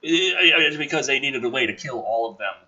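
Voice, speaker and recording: Male script reader, very forceful and sharp, husky tone, big pitch swings, sarcastic and sweary. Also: lively intonation, normal speed, highs cut off. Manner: sarcastic, exasperated, profane; theatrical delivery with sudden emphasis. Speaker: host reading and mocking a film script. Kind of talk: dramatic script reading with sarcastic running commentary.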